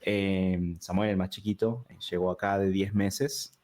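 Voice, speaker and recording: A man in his thirties, born in Peru, who talks with a River Plate Spanish accent, chatting as a guest in a relaxed, talkative way with many fillers and restarts. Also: sits low, measured pace. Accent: Rioplatense Spanish. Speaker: guest, digital product designer. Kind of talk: casual interview conversation.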